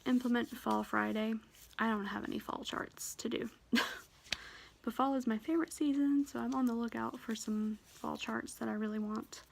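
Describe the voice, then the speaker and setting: A young woman with a soft, quiet voice, chatting shyly and a bit nervously about her stitching projects in a casual vlog. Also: fairly high pitch, vocal fry. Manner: shy, rambling, nervous. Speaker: cross-stitch vlogger. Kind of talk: casual show-and-tell vlog.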